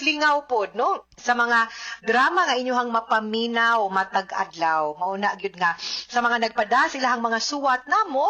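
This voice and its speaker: A woman with a Cebuano accent hosting a radio advice show, chatty and often checking in with listeners. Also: fairly high pitch. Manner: chatty, addresses listeners with frequent tag questions. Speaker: radio host and legal adviser.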